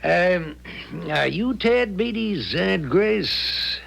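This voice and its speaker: An actor playing a scheming, streetwise ex-convict in his late thirties in a radio drama, with a gravelly, husky voice. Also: sits high, lively intonation, drawn-out words, a bit bright, exaggerated voice. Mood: earnest, ingratiating. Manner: streetwise, colloquial, purposeful. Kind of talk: 1970s radio drama acting.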